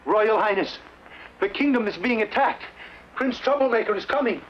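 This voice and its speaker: An actor playing a panicky, excitable royal messenger, urgently calling out in a theatrical fairy-tale play. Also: male voice, high-pitched, moderate pace, muffled recording, noisy background. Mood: panicked.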